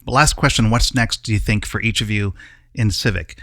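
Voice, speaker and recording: A man hosting a relaxed, conversational interview, asking questions and chatting casually. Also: fairly low pitch, normal speed, no echo, studio quality. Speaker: podcast host.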